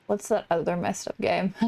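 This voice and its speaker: A woman's voice: medium pitch, animated, unhurried, vocal fry, darkish tone. A woman hosting a gaming channel, commenting casually on play in a reflective, empathetic way. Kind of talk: casual game commentary, reading dialogue aloud.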